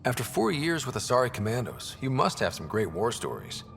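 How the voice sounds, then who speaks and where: Young explorer, lively, slightly creaky. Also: male voice, a little fast, music in the background. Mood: curious. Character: young male explorer leader, earnest and curious. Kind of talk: video game character dialogue. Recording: long reverb, faint rumbling background noise.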